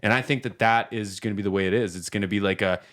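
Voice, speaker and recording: A man's voice: lowish voice, fairly even intonation, quick pace, fairly bright tone. A man chatting casually as a podcast host, with informal slang and an emphatic, opinionated way of talking. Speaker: podcast host and reaction video creator.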